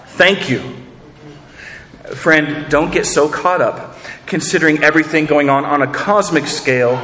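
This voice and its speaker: A man's voice: fairly high pitch, unhurried, bright, forceful tone, in a large hall. A man preaching a sermon, earnest, asking rhetorical questions and repeating words as he builds each point. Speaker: pastor.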